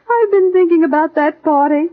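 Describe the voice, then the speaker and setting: A woman playing a fussing, sentimental middle-aged mother in 1940s radio comedy style. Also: very high-pitched. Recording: thin, narrow-band vintage radio.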